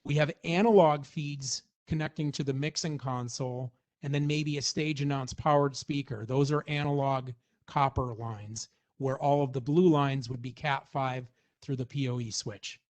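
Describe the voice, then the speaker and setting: A man's voice: mid-range voice, steady tone, normal speed, a little mellow. A man presenting a product walkthrough in an informal, explanatory way, as in a semi-scripted technical demonstration.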